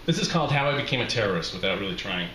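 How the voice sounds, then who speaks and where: Middle-aged American male, wry live storyteller, forceful bright tone, roomy venue with faint noise. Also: on the low side, expressive, very fast. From Utah, USA. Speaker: reading series host, memoirist. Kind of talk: live reading of a personal essay, with spoken introduction. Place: small indoor bar room with brick walls. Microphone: handheld-style microphone on stand, close.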